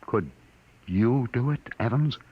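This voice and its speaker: An actor playing a solemn, compassionate spaceship captain in a 1950s radio drama, speaking with measured earnestness. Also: male voice, medium pitch, expressive, slightly rough. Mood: grave, earnest. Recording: muffled vintage 1950s radio recording.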